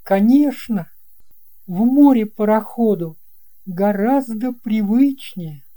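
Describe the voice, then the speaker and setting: A man's voice: high-pitched, expressive, takes it slowly, warm tone, pitched above the performer's own voice. A man voicing a young boy in an audio fairy tale, earnest, eager and full of wonder. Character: young boy, wide-eyed, amazed and eager.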